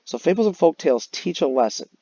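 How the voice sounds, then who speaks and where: A man's voice: on the high side, sing-song, quick pace, warm tone, dry recording, highs cut off. A man teaching young children in an explanatory way, as in a recorded read-aloud lesson. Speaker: elementary teacher. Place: voice-over on a screen-recorded slide lesson.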